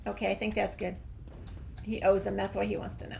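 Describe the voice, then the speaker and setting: A woman's voice: medium pitch, flat delivery, brisk, gravelly, warm tone, slight background noise. A woman hosting a casual game playthrough, musing aloud as she goes. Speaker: gaming host.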